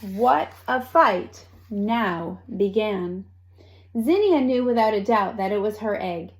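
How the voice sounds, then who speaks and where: A woman reading a children's story aloud with expressive narration and dramatic, deliberate phrasing. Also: medium pitch, poor audio. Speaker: storybook reader.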